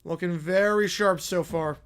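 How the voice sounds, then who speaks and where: Hoarse, bright-voiced male stream commentator. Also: high-pitched, measured pace. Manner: excited, rising commentary on big plays, quieter asides to chat. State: hoarse, voice worn out. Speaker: live stream host doing play-by-play. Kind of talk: live play-by-play and reaction.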